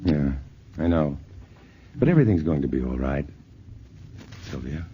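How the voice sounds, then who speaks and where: A man's voice: a bass voice, very animated, moderate pace, husky, exaggerated voice. A man playing a level-headed scientist in a 1950s radio drama, speaking steadily and reassuringly. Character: level-headed male scientist, adult, calm and protective. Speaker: scientist hero in a radio play. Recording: muffled old radio broadcast with faint hiss.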